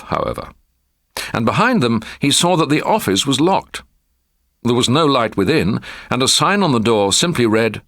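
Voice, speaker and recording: A British man reading a short story as an audiobook narrator, in a measured, expressive storytelling manner. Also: medium pitch, no echo, studio quality.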